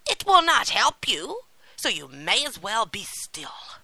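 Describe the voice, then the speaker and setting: A woman's voice: sits high, very animated, takes it slowly, bright, forceful tone, no echo, pitched above the performer's own voice, heavily acted, low-quality recording. A woman voicing a cruel, greedy old witch from a storybook, with a scolding, menacing manner. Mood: angry scolding. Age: older. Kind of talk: storybook character acting.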